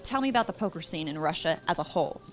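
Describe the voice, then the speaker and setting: A woman's voice: fairly low pitch, lively intonation, racing. A woman in her thirties hosting an on-camera interview, with a bubbly and chatty manner. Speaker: poker interviewer. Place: casino floor.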